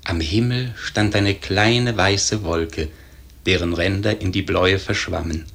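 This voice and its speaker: A man playing a grave storyteller, narrating a literary radio play in measured, formal diction. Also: low-pitched, vocal fry, a bit bright, exaggerated voice. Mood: solemn, measured. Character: grave male storyteller narrating a historic tragedy.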